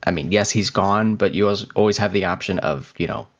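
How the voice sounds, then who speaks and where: A man's voice: on the low side, brisk. A man co-hosting a podcast in a casual, conversational style. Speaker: podcast co-host. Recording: slightly dull, lo-fi sound.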